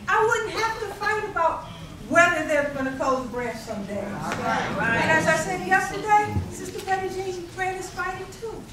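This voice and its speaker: A woman's voice: very high-pitched, heavy background noise. A woman who manages a library branch, earnestly urging a community gathering to support it. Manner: earnest, pleading, lively laughs. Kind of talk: community address. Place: community event in a library hall. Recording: echoey room from far off with crowd.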